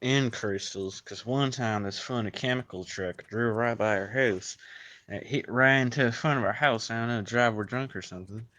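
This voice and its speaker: A young man on a podcast, improvising deadpan comic anecdotes in a casual, understated, halting way. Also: mid-range voice, no echo. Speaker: podcast member. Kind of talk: improvised deadpan comedy chat.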